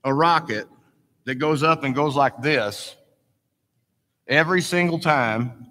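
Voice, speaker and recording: A man trading dry, deadpan jokes on stage with a co-presenter, speaking slowly. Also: a little high. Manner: dry, deadpan jokes, slow drawn-out delivery. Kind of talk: comic stage banter. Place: large conference hall. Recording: reverberant hall sound.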